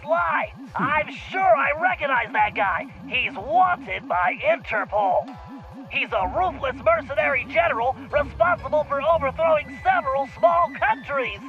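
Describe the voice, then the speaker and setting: A man voicing a nerdy, brainy turtle gadget expert for an animated video game, with a nasal, fussy, precise delivery over a radio communicator. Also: very high-pitched, measured pace, lo-fi sound, heavily acted. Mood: concerned. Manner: fussy, precise, briefing style. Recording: band-limited radio-like sound, faint music behind.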